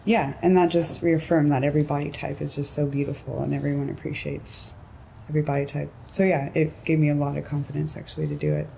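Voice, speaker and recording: Low-voiced young woman, easygoing chat. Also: fast talker, vocal fry, poor audio. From California. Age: 20s. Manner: relaxed, giggly, lots of "like" and "um" fillers. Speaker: interviewee. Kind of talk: casual interview.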